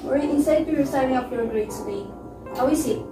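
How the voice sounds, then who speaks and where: A woman's voice: high-pitched, fairly quick, natural voice. A woman playing a harsh, dismissive mother in an amateur melodrama. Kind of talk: amateur one-act melodrama acting. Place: living room, far camera mic. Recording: echoey room, distant mic, loud music.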